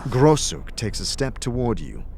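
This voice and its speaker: A man's voice: medium pitch, sing-song, unhurried, darkish tone, a little hiss or hum. A man narrating a scripted fantasy audio drama in the second person, with a theatrical, vividly descriptive delivery. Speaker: game master and narrator.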